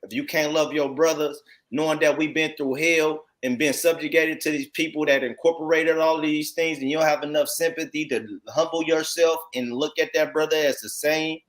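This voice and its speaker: A man with an American accent and a fairly high-pitched voice teaches scripture informally. He speaks emphatically, circles back over his phrases and keeps checking in with tag questions as he exhorts his listeners. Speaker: congregation teacher commenting on read text.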